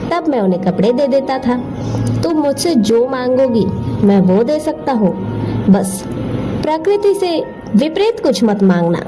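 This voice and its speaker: A woman voicing a talking magic wardrobe that grants wishes, speaking in a clear, steady storytelling voice. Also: sits high, a little slow, over music, rough recording. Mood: commanding. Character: talking magic wardrobe, male, grand and kindly.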